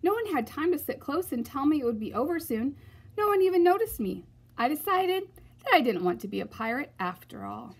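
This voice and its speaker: Animated woman reading a children's story. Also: high voice, a little fast. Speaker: storybook reader. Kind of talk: expressive children's read-aloud narration.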